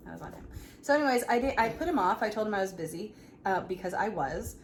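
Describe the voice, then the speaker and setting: A woman in her thirties telling a personal story in a casual, chatty, animated way. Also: medium pitch, fast. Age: 30s. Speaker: vlog co-host. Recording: clearly noisy room ambience.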